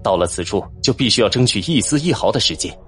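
Low-pitched man narrating with lively intonation. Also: fast talker. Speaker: audiobook narrator. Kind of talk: dramatic scripted fantasy narration. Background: music.

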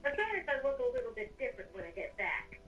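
Girl doing a chatty villain roomie, high n bright, teasing, bit far from the mic. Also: sing-song, fast talker, muffled recording. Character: breezy, chatty villain housemate. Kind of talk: improvised Minecraft roleplay. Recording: distant home microphone.

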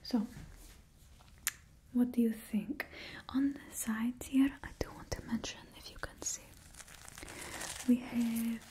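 A woman's voice: fairly high pitch, dark tone. A woman doing a whispering Russian-accented villain stylist. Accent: put-on Russian. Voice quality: whispered, close to the mic. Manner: fussy, attentive, drops small words. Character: suave confident female supervillain stylist. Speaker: ASMR roleplay performer. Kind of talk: ASMR roleplay. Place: indoor room in front of a painted backdrop. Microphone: close ASMR mic, moving near and far.

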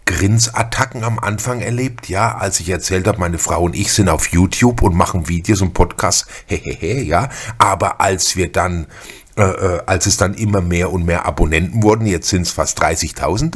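Husky middle-aged man hosting a podcast. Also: low-pitched, expressive, normal speed. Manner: chatty, informal, direct advice-giving. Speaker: podcast host. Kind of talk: casual spoken podcast monologue addressed to listeners.

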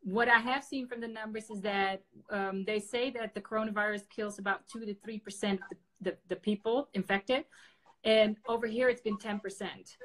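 A woman's voice: mid-range voice, fairly even intonation, moderate pace, fairly bright tone, low-quality recording. A woman in her thirties from the Netherlands, a native Dutch speaker, hosting a casual livestream interview from home over a webcam and laughing easily. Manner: chatty, laughs often, many fillers. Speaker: host. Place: bedroom at home, indoors. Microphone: webcam on a video call.

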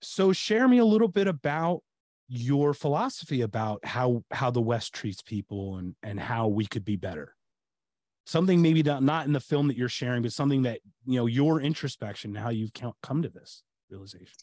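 A man's voice: medium pitch, lively intonation, moderate pace, a little mellow, no room sound, studio quality. A middle-aged American man hosting a podcast over a video call, talking casually with lots of restarts and fillers. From United States. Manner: rambling, many restarts and fillers. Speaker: podcast host. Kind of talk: casual interview chat. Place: home room, video call.

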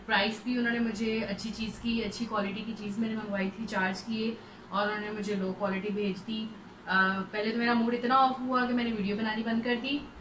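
A woman's voice: on the high side, level tone, a little fast, far from the mic. Echoey woman vlogging at home. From Pakistan. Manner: chatty, mixes English phrases in. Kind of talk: casual vlog to camera. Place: bedroom at home, indoors. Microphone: phone camera at close range. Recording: echoey room, faint background hum.

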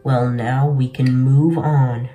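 A man's voice: mid-range voice, steady tone, slow pace, warm tone. A young man giving casual, slangy game commentary, talking to his viewers in a laid-back way from a bedroom. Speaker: gaming video host. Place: small bedroom, indoors. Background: music.

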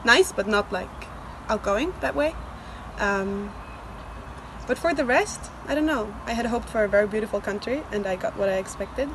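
A woman's voice: mid-range voice, animated, normal speed. A woman in her twenties from northern Italy, a student giving thoughtful, somewhat hesitant interview answers outdoors. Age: 20s. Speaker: exchange student. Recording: outdoor noise.

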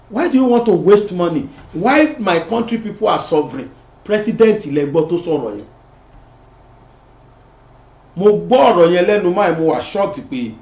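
A middle-aged Nigerian man hosting a political commentary show, talking animatedly and gesturing as he sits in a studio. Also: high-pitched. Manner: animated, switching between Yoruba and English, gesturing. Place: indoor studio set. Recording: roomy, dull lo-fi sound.

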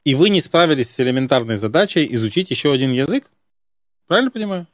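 Fast-talking man, probing questioner. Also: mid-range voice, very animated, no echo. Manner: probing, questioning, mock-earnest. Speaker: prank-call host. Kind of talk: unscripted phone interview. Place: indoor room with gaming chair.

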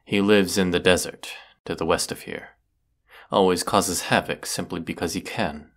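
A man playing a proud, brooding, sardonic wizard of the woods in a scripted audio roleplay, speaking haughtily and deliberately. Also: low-pitched, steady tone, close to an everyday voice. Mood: grave, determined.

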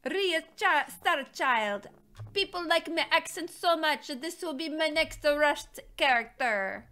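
Brash comic foreigner played by a woman, fake Italian accent, high piercing pitch, halting, teasing delivery for a streamed game. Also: bright, forceful tone, exaggerated voice. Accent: mock Italian. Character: woman from tiny made-up country with mock-Italian accent. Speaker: streamer hosting a group game.

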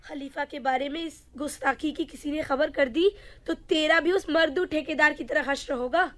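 A woman's voice: high-pitched, moderate pace, fairly bright tone. A woman playing a distraught old mother in a radio play, scolding, wailing and pleading melodramatically. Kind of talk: theatrical radio play acting.

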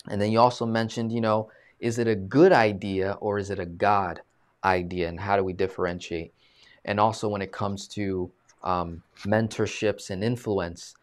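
Chatty man hosting a video call. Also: on the low side. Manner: animated, gestures a lot, many fillers. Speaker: host. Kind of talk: casual live-stream interview. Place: small room, plain green wall. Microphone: video call webcam.

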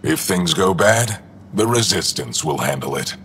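An actor playing a gruff, war-hardened alien resistance leader in a video game, terse, dry and cynical with a gravelly voice. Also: male voice, low voice, animated, measured pace, a bit bright, slight background noise. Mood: curt, dry. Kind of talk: video game dialogue acting.

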